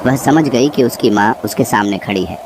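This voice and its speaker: A man's voice: mid-range voice, animated, normal speed. Male horror storyteller over noisy nature ambience. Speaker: story narrator. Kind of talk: scripted horror story narration. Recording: clear background noise, nature ambience.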